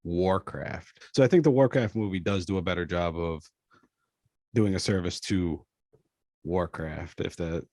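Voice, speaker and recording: A man's voice: low voice, a little slow, darkish tone. A man co-hosting a podcast, bantering casually and bluntly with friends and swearing freely. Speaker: podcast co-host.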